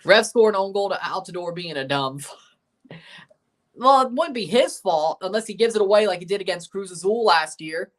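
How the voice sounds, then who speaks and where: A man's voice: high voice, expressive, normal speed, a bit bright. A young man hosting a live sports watchalong stream, reading chat aloud and giving opinionated takes. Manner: reads out team lineups. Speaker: livestream host reading chat.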